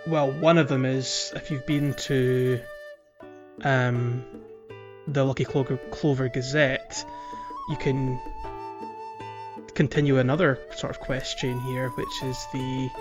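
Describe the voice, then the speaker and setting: Man podcasting over clear plucked guitar music. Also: medium pitch, takes it slowly. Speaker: podcast host. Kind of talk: casual chat about a video game.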